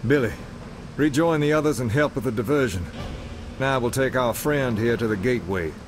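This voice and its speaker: A man's voice: mid-range voice, normal speed, noisy background, natural voice. A man playing a stern, commanding older exorcist priest in a game cutscene, solemn and unflinching. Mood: grave. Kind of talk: video game cutscene acting.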